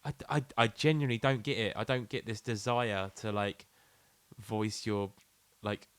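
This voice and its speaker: A man's voice: fairly low pitch, steady tone, moderate pace, fairly bright tone, clear, resonant tone, no echo. Southern English man, jokey casual podcaster. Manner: jokey, sweary, loose phrasing. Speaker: podcast co-host. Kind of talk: casual comic banter.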